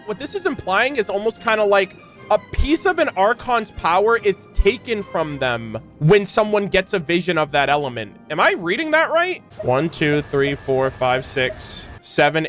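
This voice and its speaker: Casting: male gaming reaction host, high voice, informal and slangy, faint music bed. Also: fairly bright tone. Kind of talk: casual reaction commentary.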